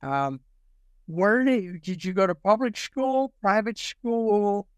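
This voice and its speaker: A man's voice: high voice, sing-song, slow, smooth, dry recording. A man hosting an informal interview, jokey and rambling, with a habit of repeating himself. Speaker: show host interviewing a guest.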